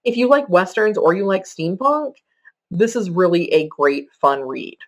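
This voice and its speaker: A woman's voice: fairly low pitch, lively intonation, unhurried. A woman podcaster presenting recommendations in a semi-scripted countdown, conversational and explanatory. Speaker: podcaster giving book recommendations.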